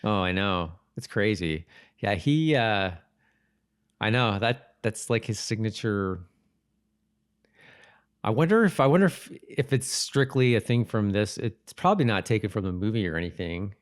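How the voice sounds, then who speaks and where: Low-voiced man, halting casual podcast chat. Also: expressive, clear, resonant tone. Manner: halting, repeats and rephrases himself. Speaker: podcast co-host. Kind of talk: casual chat about a TV episode.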